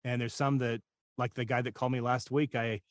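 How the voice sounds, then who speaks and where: A man's voice: mid-range voice, flat delivery, fairly quick, no room sound, clean studio sound. A man talking casually as a guest voice-over coach, candid and self-deprecating as he shares anecdotes. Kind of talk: casual conversational interview talk.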